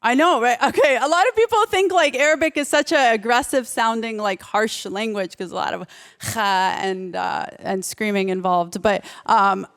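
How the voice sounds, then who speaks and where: A woman's voice: a little high, normal speed, a bit bright, clean tone. A woman performing stand-up comedy in a conversational, self-interrupting way, slipping into quoted remarks. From United States.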